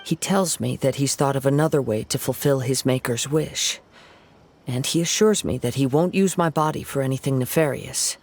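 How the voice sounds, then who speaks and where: A man playing a composed young wandering samurai from a video game, courteous and measured. His voice sits at a middle pitch. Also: a little hiss or hum, close to an everyday voice.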